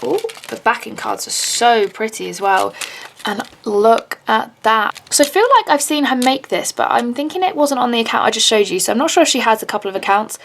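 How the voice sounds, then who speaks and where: A woman unboxing handmade charms in a casual vlog, gushing and excitable, with a slightly raspy voice. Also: fairly high pitch, brisk, a little hiss or hum. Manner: gushing, excitable, many "oh my God" and gasps.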